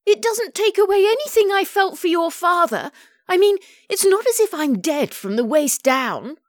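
A woman's voice: extremely high pitch, sing-song, normal speed, no echo, clean studio sound, voice pushed up. A woman voicing a defensive, indignant mum in a contemporary comic novel, protesting with embarrassed emphasis. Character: defensive, indignant mum confiding in her grown child.

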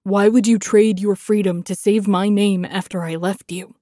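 A proud male lieutenant, acted by a woman narrator in a fantasy audiobook. The delivery is intense and sardonic. The tone is soft and dark, with a close dry sound. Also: mid-range voice, fairly even intonation, measured pace, slightly rough, no room sound. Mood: demanding. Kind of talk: dramatic fantasy audiobook acting.

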